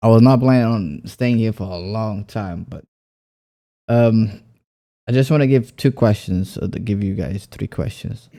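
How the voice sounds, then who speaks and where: Dark-voiced man, rambling YouTube host. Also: lowish voice, measured pace. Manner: rambling, filler-heavy. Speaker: small YouTube creator, host. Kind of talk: casual interview.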